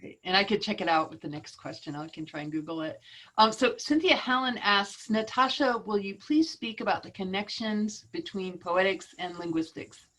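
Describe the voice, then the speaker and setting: A woman's voice: mid-range voice, animated, normal speed, fairly bright tone, low-quality recording. A woman moderator reading out audience questions, brief and businesslike.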